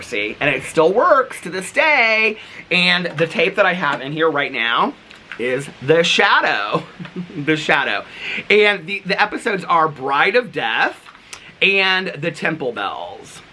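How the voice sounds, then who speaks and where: A man talking casually to viewers as a vlogger, in an animated, rambling, gushing way. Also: on the high side, a little hiss or hum. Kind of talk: casual, chatty vlog talk to viewers.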